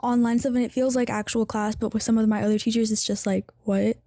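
Mellow teenage girl hosting a podcast. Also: fairly high pitch, level tone, quick pace, no echo, clean studio sound. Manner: friendly, thoughtful, hedging with "like". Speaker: podcast host. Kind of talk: casual chat.

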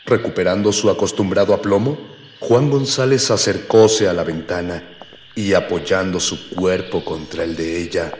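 A man's voice: low-pitched, steady tone, moderate pace, a little mellow, reverberant, soft background music, close to an everyday voice. A man playing a pompous, florid, formal narrator in a mock-literary comic space radio drama. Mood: solemn, florid. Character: pompous narrator of a space-adventure comedy, grandiloquent, archaic.

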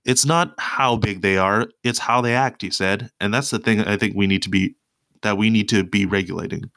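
Podcast host, male, stuffed-up nasal voice, lowish pitch, halting delivery with restarts, solo commentary. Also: fairly bright tone. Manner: hesitant, many restarts and fillers, reading article excerpts aloud. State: congested, nasal voice from a cold.

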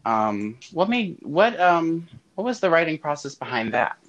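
A man hosting a casual role-played interview, talking in halting bursts with frequent restarts. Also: fairly high pitch, sing-song, fairly bright tone, dull audio.

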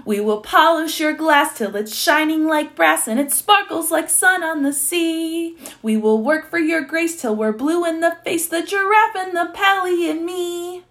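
A woman voicing a cheerful, excitable monkey in a children's story, exuberant and sing-song. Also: very high voice, a little slow, hardly any pauses, pitched above the performer's own voice, heavily acted.

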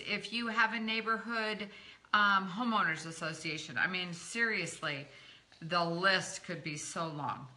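A middle-aged woman running a live training session as a network marketing coach, talking in an encouraging, chatty way and greeting viewers by name. Her voice is a little croaky. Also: on the low side, bright, forceful tone. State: slightly croaky from talking a lot.

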